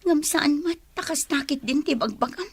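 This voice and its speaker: A woman with an Ilocano accent playing a heartbroken, naive woman in love in a radio drama, plaintive and soft-hearted. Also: sits high, normal speed. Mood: hurt pleading. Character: lovesick, heartbroken, naive woman clinging to a man.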